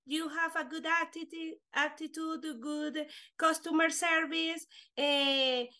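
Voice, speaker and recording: A young woman whose first language is Spanish talks in slow, halting English with many fillers. Her voice sits high and sounds bright and forward. She comes across earnest as an interview guest. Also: level tone. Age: young adult. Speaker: guest, life insurance agent. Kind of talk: casual interview chat.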